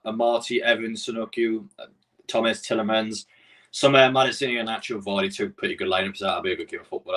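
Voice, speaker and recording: Fast-talking Geordie lad on a live stream. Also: male voice, lowish voice, a bit bright, far from the mic, rough recording. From Newcastle. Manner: chatty, rambling, answers chat messages. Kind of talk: casual live-stream chat.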